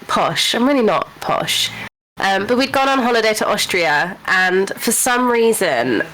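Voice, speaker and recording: A British woman co-hosting a podcast, telling a story in an animated way and acting out the people in it. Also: mid-range voice, slight background noise, poor audio. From Britain. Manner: animated storyteller, acts out voices. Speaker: podcast co-host. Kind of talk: casual chat.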